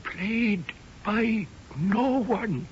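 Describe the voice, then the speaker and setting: A very high-voiced Indian houseboy in a radio drama, speaking slowly with an Indian accent. He is solemn, deferential and quietly scornful, with ornate phrasing, on a dull old radio recording with music behind. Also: slight background noise. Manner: ornate, formal, portentous phrasing. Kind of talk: radio drama acting and narrating in character.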